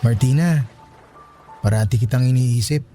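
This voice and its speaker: A Filipino man playing a smooth, entitled young rich suitor in a radio drama, talking in a coaxing, insinuating way. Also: medium pitch, moderate pace, dark tone, soft background music, natural voice.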